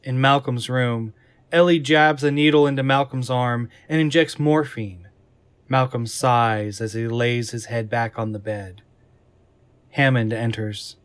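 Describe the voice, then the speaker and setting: A man's voice: medium pitch, steady tone, unhurried, clear, resonant tone. A man reading scripted scene narration for an audio drama.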